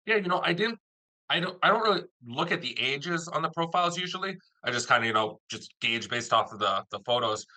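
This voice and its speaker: A man's voice: on the high side, lively intonation, fairly quick, pushed and bright. A man hosting a casual interview, chatting as the producer of an audio drama.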